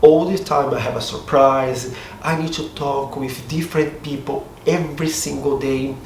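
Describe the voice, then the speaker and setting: A middle-aged man from Brazil with a Portuguese accent and a slightly hoarse voice, chatting upbeat to camera at home. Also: medium pitch, steady tone, normal speed, darkish tone. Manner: chatty, upbeat, self-deprecating. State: a bit hoarse. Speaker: vlogger. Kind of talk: casual talk to camera. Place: home room with outside noise. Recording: roomy echo, distant mic, faint street noise.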